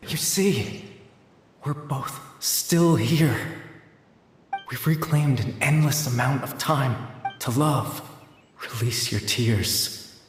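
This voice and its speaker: A man playing a solemn, mysterious storyteller in a game cutscene, tender, hushed and mournful, with heavy reverb. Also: medium pitch, takes it slowly, pauses often, soft background music. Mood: tender, sorrowful. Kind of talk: poetic game cutscene narration. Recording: long echoing reverb with faint noise.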